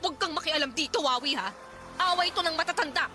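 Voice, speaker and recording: A woman acting a combative, bitter aunt in a radio drama, loud, sarcastic and accusing. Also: sits high, fast, over music, close to an everyday voice. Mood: furious, defiant. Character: combative, bitter, proud aunt in a family quarrel.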